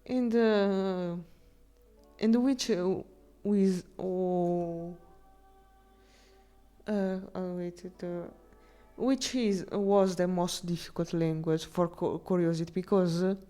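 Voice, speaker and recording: A woman streamer chatting casually with her viewers, speaking halting non-native English with frequent restarts while she searches for words. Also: lowish voice, steady tone, dark tone, soft background music. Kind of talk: casual live-stream chat.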